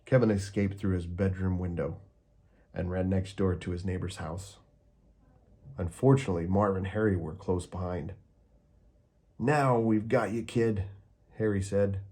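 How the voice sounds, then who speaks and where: Deep husky man reading a storybook. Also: steady tone, normal speed, mellow. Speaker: storybook reader. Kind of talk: children's book read-aloud.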